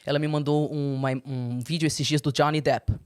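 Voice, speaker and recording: A young adult woman, a guest in an interview, candidly telling her personal story and breaking into high, animated quotes. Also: normal speed, clean tone. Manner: expressive, swings into high animated quoting.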